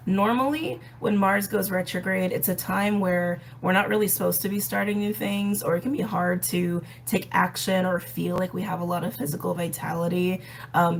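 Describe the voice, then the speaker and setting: Raspy-voiced woman in a chatty astrology talk. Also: fairly low pitch, slight background noise. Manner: chatty, with frequent ums and light laughs. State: hoarse, recovering from a respiratory illness. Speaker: astrology and tarot channel host. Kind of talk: casual explanatory astrology talk.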